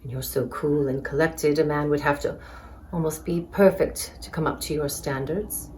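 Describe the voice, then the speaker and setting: A woman's voice: deep voice, steady tone, moderate pace, a little mellow, slight background noise, lightly acted. A woman playing a prying, easily sulky young woman in an amateur dramatized novel reading. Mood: probing. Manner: pointed, probing questions.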